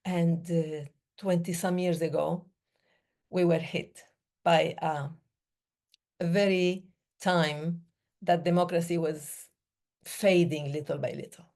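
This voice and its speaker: Woman speaker from the Middle East; slow, mellow, lowish voice, measured phrasing. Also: steady tone. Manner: slow, measured, with pauses between short phrases. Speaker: breathing day speaker. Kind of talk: reflective talk.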